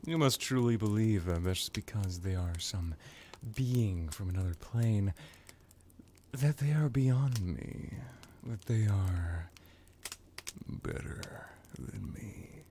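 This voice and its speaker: Guy doing old immortal vampire crime boss for asmr, real slow drawl, deep, condescending n theatrical, bit of hiss and clicking behind it. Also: animated, dark tone. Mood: smug, menacing. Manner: sarcastic, condescending, drawling with dramatic pauses. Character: ancient smug male vampire crime lord, cunning and theatrical. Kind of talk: ASMR roleplay.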